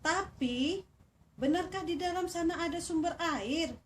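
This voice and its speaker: A trusting, hesitant elephant from a children's fable, acted out by a woman. It asks things in a friendly, high voice. The sound is a little distant, with faint noise. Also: measured pace. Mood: doubtful. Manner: questioning, friendly.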